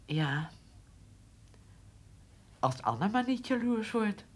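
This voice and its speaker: An actress playing a frail, elderly farm mother in a radio drama, with a husky voice and a plain, motherly manner. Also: mid-range voice, sing-song, slow pace. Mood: concerned. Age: older. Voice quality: husky, raspy.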